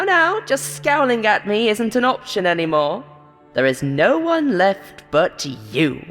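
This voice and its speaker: A woman voicing a monstrous game show host in a horror story, falsely friendly and hateful, with a taunting, showy, drawn-out delivery. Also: mid-range voice, big-room sound, voice pushed up.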